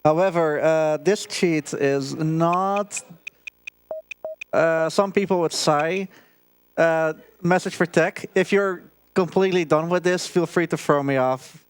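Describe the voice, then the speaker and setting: Higher-voiced man doing casual speedrun commentary. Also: fairly even intonation, slow, slight background noise. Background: game music.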